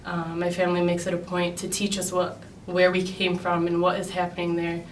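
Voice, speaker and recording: A young woman from Chicago answers interview questions in an earnest, steady way, leaning on frequent "um" fillers. Her voice sits a little low and barely moves in pitch. She is off-mic in a reverberant room with a faint hiss behind her. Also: brisk, distant mic. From Chicago, United States. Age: 20s. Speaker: interviewee, graduate student. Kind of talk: personal interview account. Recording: echoey room, speaker away from mic, faint noise.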